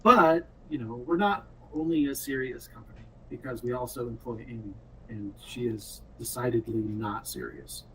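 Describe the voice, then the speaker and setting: Man, mid-pitched, halting reflective talk, casual, set back from microphone, faint noise. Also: pushed and bright. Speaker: game director and programmer. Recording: distant mic with faint noise.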